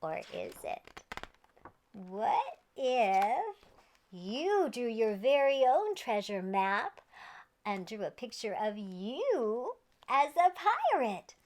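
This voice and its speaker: A woman's voice: on the high side, sing-song, slow pace, a little mellow. A woman reading a children's story aloud in a playful, theatrical way with exaggerated emphasis. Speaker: children's storybook reader and host.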